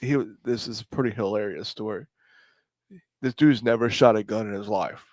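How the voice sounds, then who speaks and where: A man telling stories casually on a podcast, often halting and searching for his words. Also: fairly low pitch, a little mellow, no echo. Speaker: podcast co-host. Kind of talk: casual storytelling on a live podcast.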